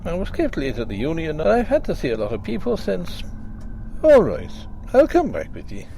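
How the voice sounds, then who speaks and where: A man's voice: a little high, huge pitch swings, moderate pace, creaky, dark tone, a little hiss or hum, voice pushed up, full character voice, poor audio. A man voicing a blunt, hearty young country doctor with an Irish accent, brusque and teasing. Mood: brisk.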